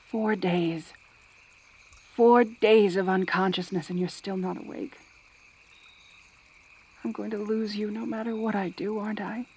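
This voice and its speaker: Girl doing a lonely monster girl centaur for asmr roleplay, talks slow, sighs a lot, desperate and caring. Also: mid-range voice, darkish tone, breathy edge. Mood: weary, worried. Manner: halting, pleading, sighing delivery. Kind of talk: roleplay ASMR audio drama.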